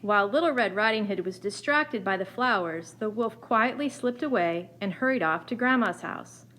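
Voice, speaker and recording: A woman's voice: medium pitch, expressive, normal speed, a bit bright, low-quality recording. A woman narrating a scripted children's fairy tale as a storyteller. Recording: faint background noise.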